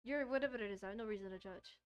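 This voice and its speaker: A woman's voice: medium pitch, very fast, smooth, highs cut off, close to an everyday voice. A woman reading the lines of an easygoing, reassuring young male protagonist in a visual novel.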